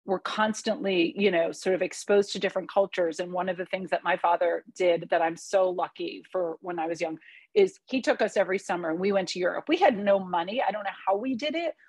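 Woman in her forties, Hungarian first language, low voice, dry close sound, candid reflective interview talk, filler-heavy. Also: fairly quick, darkish tone, breathy edge, no room sound. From United States. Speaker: podcast guest. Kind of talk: conversational interview.

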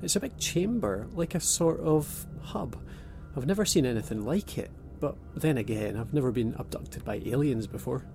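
A man voicing a dry-humoured young abductee with a gravelly, creaky edge to his voice. Over a prominent music score in an audio drama, he keeps a sardonic calm. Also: mid-range voice, moderate pace, few pauses. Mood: wry. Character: wry young man named Paul, abductee.

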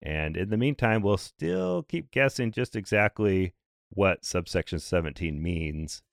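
A man in his thirties or forties hosting a podcast, slightly hoarse and raspy, talking briskly and conversationally with a light dry humor. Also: low voice, lively intonation, dry recording. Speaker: podcast host. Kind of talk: scripted podcast explainer.

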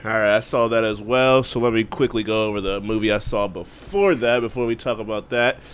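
A man's voice: lowish voice, brisk, a little hiss or hum. Laid-back rambling podcast guy. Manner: loose, rambling, slangy. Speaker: podcast co-host. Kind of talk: casual movie chat.

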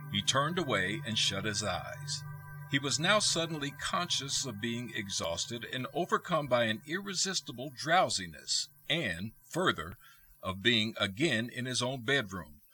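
A man playing the narrator of a radio play, articulate and expressive in scripted theatrical narration. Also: mid-range voice, rough recording. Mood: measured, wry. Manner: articulate, relishing descriptive lists. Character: expressive storybook narrator of a ghost story.

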